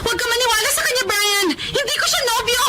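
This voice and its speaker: A woman's voice: very high voice, fast talker, heavy background noise. A Filipino woman playing an earnest, emotional young woman in a radio drama, with dramatic and emphatic delivery. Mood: alarmed, defiant.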